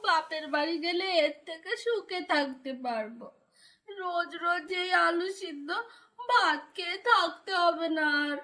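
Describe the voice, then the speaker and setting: A woman's voice: extremely high pitch, a little slow, sharp tone, full character voice. A woman voicing a cruel, scornful village stepmother in a cartoon folk tale, scolding and taunting as she talks. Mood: angry, defiant.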